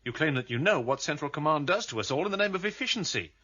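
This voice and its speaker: A man's voice: a little high, lively intonation, fast talker, bright, forceful tone. An actor in a radio drama playing an earnest, driven male outcast on a search, speaking in an intense, formal and urgent manner. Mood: pressing, indignant. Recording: dull, band-limited radio drama recording.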